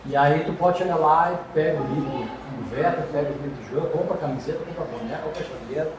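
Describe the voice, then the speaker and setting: A man with a fairly high voice talks quickly and cheerfully. He sounds like he is in a very echoey room with clear background ambience. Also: distant mic, background noise. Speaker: car club member. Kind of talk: casual promotional chat.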